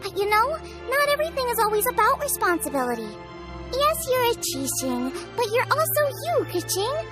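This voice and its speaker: A woman's voice: very high-pitched, sing-song, normal speed. A woman voicing an upbeat, easygoing teenage girl chef in a video game, with a girlish, frank and encouraging delivery. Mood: reassuring. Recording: clean studio voice over game music. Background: tinkly children's-style game music.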